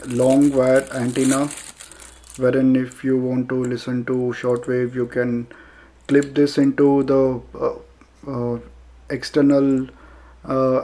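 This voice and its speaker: A man with an Indian accent narrating a casual unboxing review, speaking haltingly with frequent filler pauses. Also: mid-range voice, mellow. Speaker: product reviewer.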